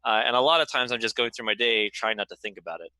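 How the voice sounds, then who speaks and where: A man's voice: lowish voice, quick pace, bright, forceful tone, dry recording, poor audio. A man giving candid, reflective answers as a game writer, hesitant and self-deprecating as he thinks aloud. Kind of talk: candid conversational panel answer.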